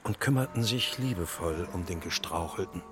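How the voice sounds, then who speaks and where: A man's voice: deep voice, lively intonation, moderate pace, a bit bright, faint music behind. An actor playing a bitter, sarcastic man sparring drily with his partner in a radio drama. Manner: dry, sarcastic.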